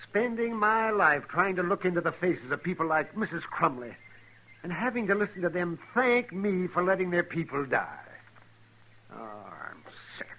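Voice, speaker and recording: Weary frontier doctor, American, sharp highish voice, brooding and testy, old radio drama. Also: male voice, very animated, moderate pace, dull audio, full character voice. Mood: bitter, testy. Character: weary, bitter, self-blaming frontier town doctor. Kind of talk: 1950s radio western acting. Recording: faintly noisy old radio recording.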